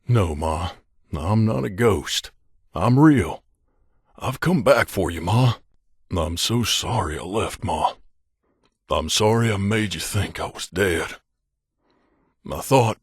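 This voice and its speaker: A low, dry-recorded male voice for a wasteland mercenary. He is a gruff, grumbling game companion with a wry, conversational delivery. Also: no room sound, heavily acted. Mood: anguished pleading. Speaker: video game voice actor. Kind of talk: game character voice lines.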